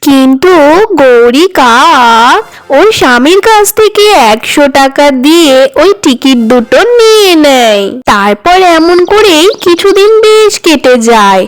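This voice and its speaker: A woman's voice: sits high, lively intonation, measured pace. A woman narrating a cartoon story for children in a sing-song storytelling way.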